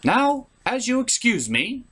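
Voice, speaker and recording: A man's voice: very high-pitched, wildly swooping pitch, a little slow, bright, forceful tone, husky. A man hosting a scripted comedic cartoon review, theatrical and opinionated with crude turns of phrase. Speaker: cartoon reviewer, show host. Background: music bed.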